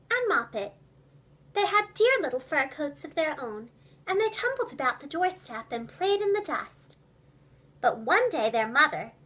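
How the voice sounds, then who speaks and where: A woman telling a children's storybook tale expressively and clearly, as if to young children. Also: high-pitched, sharp tone. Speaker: storyteller, preschool teacher and voice actress. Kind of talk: animated children's storybook narration.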